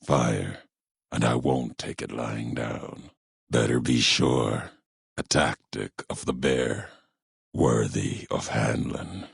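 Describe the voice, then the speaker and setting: An extremely deep, heavily gravelled voice for a grim, vengeful wasteland wanderer in a video game. He speaks slowly and solemnly, in terse, cryptic pronouncements, like a brooding philosopher set on revenge. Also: no echo, studio quality, heavily acted. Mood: cold, challenging. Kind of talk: video game character dialogue.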